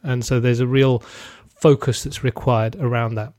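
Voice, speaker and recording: A man's voice: mid-range voice, steady tone, normal speed, darkish tone, dry recording, studio quality. A man hosting a conversational interview, framing his questions at length in a thoughtful way. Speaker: interview host.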